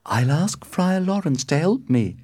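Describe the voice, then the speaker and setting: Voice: mid-range voice, very animated, unhurried, dark tone, husky, no echo, studio quality, voice pushed up. A performer voicing a lovesick, distressed young noble daughter in a storybook reading, earnest and pleading. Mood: calm, resolved.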